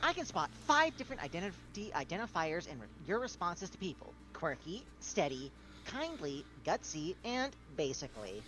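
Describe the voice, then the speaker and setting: A woman voicing a polished, helpful machine assistant in a video game, speaking in a measured, precise, salesy way. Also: fairly low pitch, very animated, fairly bright tone, soft background music. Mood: self-assured. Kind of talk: scripted game dialogue read aloud.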